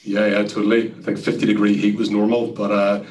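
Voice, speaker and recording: An Irishman speaking as a guest in a casual interview, relaxed and chatty with frequent fillers. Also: fairly low pitch, a little fast, far from the mic. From Ireland. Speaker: guest, sales executive.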